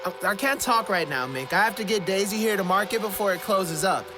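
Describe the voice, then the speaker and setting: A man playing a naive, anxious poor farm boy, hesitant and earnest, in an animated musical play. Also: sits high, fairly quick. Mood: wary, curious. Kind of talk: animated musical theater acting. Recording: hip-hop music behind.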